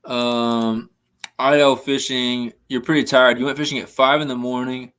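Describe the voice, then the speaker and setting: A man's voice: mid-range voice, little pitch movement, normal speed, a bit bright, clean tone. A young man hosting a casual fishing livestream, chatting and answering viewers' messages, his voice a little worn from talking. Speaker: livestream host.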